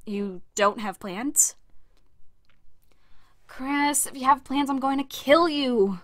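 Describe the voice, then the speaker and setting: A woman's voice: high voice, slow, fairly bright tone. A young woman playing an awkward, blunt, teasing college student in an audio drama. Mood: mock threatening. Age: young adult. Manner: flustered, blunt.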